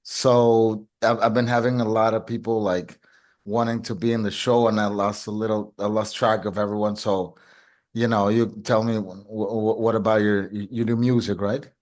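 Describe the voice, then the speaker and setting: A man's voice: fairly low pitch, flat delivery, moderate pace, a little mellow. A man hosting a podcast, asking short questions with stammered restarts. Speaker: podcast host. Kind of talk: casual interview talk.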